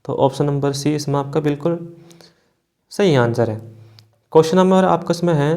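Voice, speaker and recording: Man teaching, slightly high-pitched voice. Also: darkish tone. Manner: explanatory, repeats points and often checks in with short tags. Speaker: exam coaching teacher. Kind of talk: online class working through multiple-choice questions.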